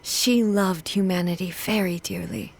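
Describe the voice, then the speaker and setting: A woman's voice: lowish voice, steady tone, measured pace, vocal fry. A woman voicing a dignified immortal ruler in a video game, formal, composed and earnest, with music underneath. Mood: reflective, earnest. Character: solemn, regal immortal female ruler, measured and dignified.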